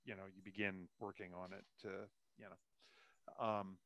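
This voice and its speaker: A man's voice: sits low, level tone, unhurried, a bit bright. An older man, a guest author chatting casually about writing in a rambling way, with self-deprecating humour.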